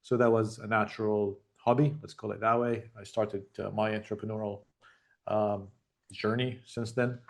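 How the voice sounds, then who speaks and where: Gravelly low-voiced man on a video call. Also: flat delivery. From Jordan. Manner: measured, reflective, with frequent ums. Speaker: tech founder guest. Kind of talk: interview answers over video call.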